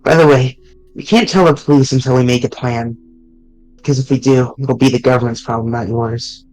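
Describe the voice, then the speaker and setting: A loyal, eager young man from a fan-made game, read by a man in a mid-pitched voice. His lines run casual and blunt with a bit of swearing, and faint music plays underneath. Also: fairly even intonation, fairly quick, darkish tone, lightly acted, rough recording. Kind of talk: reading a visual novel aloud on stream.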